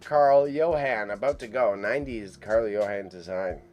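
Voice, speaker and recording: A man hosting a casual auction livestream, chatty and excitable as he rambles to his chat, with a mild impediment on sh and ch sounds. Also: on the low side, normal speed, faint music behind. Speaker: livestream host reacting to an online auction. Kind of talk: casual live stream commentary.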